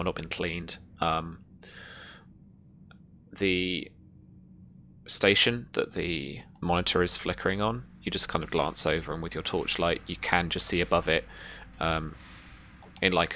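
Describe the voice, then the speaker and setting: A man improvising tabletop game narration as game master, with halting, hesitant phrasing. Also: low voice, fairly even intonation, creaky, sharp tone, a little hiss or hum.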